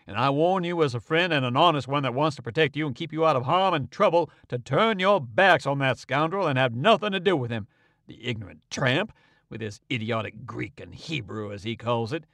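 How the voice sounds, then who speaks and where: Brief: male reader as an angry old doctor, lower and firm, dry close sound. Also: fairly quick, creaky, no room sound. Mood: indignant. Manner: indignant, forceful, accusing. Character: stern, angry older male town doctor.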